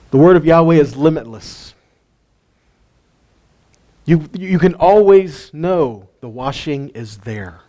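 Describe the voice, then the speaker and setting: A man giving an informal Bible teaching, animated and full of rhetorical questions and light jokes. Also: medium pitch. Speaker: teacher of a weekly Torah portion.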